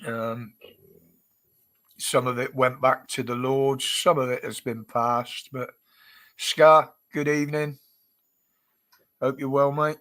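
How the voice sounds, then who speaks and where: British male streamer, congested nose, unhurried chatty delivery. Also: medium pitch, level tone, a bit bright. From United Kingdom. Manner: chatty, greets viewers by name, chuckles often. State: stuffy, blocked nose. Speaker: livestream host. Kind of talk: casual livestream chat.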